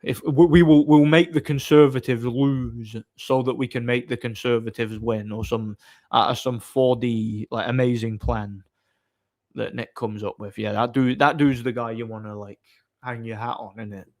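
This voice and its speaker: A man hosting a livestream, chatting casually and off the cuff in a blunt, opinionated, somewhat halting way. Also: mid-range voice, steady tone, darkish tone. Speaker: livestream host. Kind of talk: casual off-the-cuff chat, answering viewer comments.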